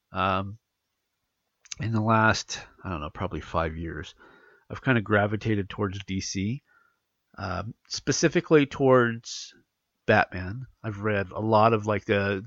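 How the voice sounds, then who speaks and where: A congested man hosts a casual podcast, rambling through his points with lots of fillers and restarts. His voice is gravelly and his pitch swings a lot. The recording is dry and a little dull. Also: a bit bright, no room sound. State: congested from allergies. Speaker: podcast host. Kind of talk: casual podcast chat, reading news aloud.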